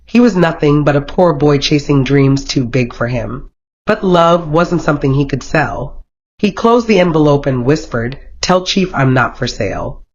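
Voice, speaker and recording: A storyteller narrates a romantic folktale from a script. The delivery is dramatic and expressive at a middling pitch. The recording sounds dull and lo-fi. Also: moderate pace.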